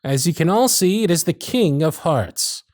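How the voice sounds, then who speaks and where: Showy stage magician, played by a man, higher-pitched and very animated, grand theatrical phrasing, audio roleplay. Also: dry recording, studio quality, lightly acted. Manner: grand projected patter, drawn-out dramatic phrasing. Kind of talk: ASMR roleplay stage performance.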